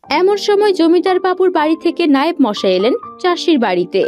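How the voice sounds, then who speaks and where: High-voiced woman narrating over music. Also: sing-song, fairly quick, darkish tone. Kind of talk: scripted fairy tale narration. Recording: background music under the voice.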